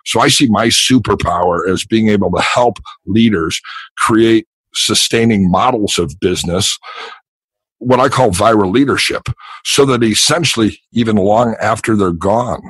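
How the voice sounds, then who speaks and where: Homem de voz grave e rouca, seca. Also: male voice, normal speed, a bit bright, husky, no echo, low-quality recording. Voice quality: deep, throaty voice. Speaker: guest, psychotherapist and business consultant. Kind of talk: podcast interview answers.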